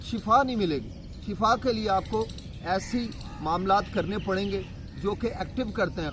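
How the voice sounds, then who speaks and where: A man teaching a health and yoga lesson, explaining in plain words and often pausing with fillers. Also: high-pitched, background noise. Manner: explanatory, with frequent hesitation fillers.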